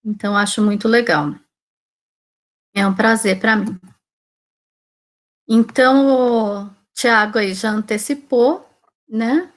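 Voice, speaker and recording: A woman's voice: on the high side, slow, pauses often. A Brazilian woman in her forties from Salvador, Bahia, giving an informal talk as a teacher, conversational and often checking in with tag questions. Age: 40s. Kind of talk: informal online talk about own career.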